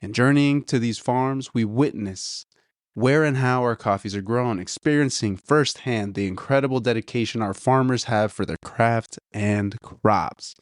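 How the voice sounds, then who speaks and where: A man's voice: medium pitch, a little slow, no room sound, clean studio sound. A man hosting a podcast, chatting casually and rambling as he reviews a coffee, a little nasal, saying "dude" and "you know" often. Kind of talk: casual solo chat.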